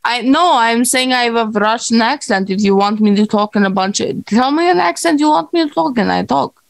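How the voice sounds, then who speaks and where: A woman's voice: fairly high pitch, brisk, creaky, no echo. A woman co-hosting a podcast, playful and teasing, often stammering as she restarts. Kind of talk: casual comedic chat.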